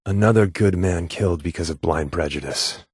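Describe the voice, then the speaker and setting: A man's voice: deep voice, normal speed, husky, dry recording, studio quality. An actor playing a glib, wary but loyal secret-agent companion in a video game, sardonic and colloquial in his delivery. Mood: worried, serious. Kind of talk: scripted video game character dialogue.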